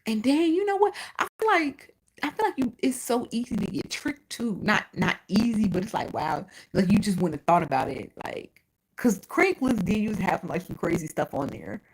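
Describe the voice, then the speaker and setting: A woman's voice: mid-range voice, normal speed, husky, rough recording. A woman with a Chicago accent and a lisp, chatting bluntly and profanely on a livestream as its host. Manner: blunt, profane and animated, answering chat. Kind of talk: casual livestream chat.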